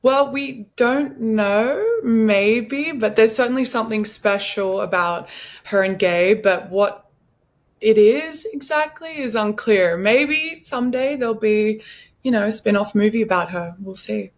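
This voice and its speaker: A woman's voice: a little high, a little slow. A woman, an actress, talking about her role in a conversational interview.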